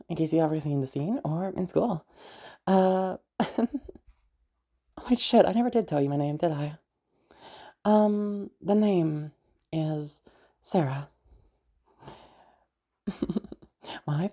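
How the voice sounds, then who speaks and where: Girl acting as friendly young woman w a hidden obsessive streak, dark mellow husky voice, roleplay audio. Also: sits low, full character voice. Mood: playful, flustered.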